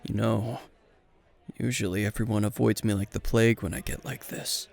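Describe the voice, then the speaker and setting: A man playing a gruff, weary, tipsy hero teacher who is dryly flirtatious, in an intimate, deadpan ASMR roleplay with a gravelly voice. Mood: dry, teasing. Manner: low-key, dry, deadpan, unhurried.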